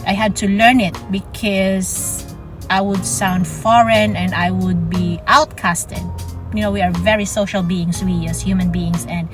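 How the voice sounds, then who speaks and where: Chatty Filipina vlogger over music. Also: female voice, medium pitch, fairly even intonation. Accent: Filipino, with some Australian. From Philippines. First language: Ilonggo. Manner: chatty, laughs often, drawn-out words. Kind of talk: casual vlog monologue. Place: home in Australia. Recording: music playing behind.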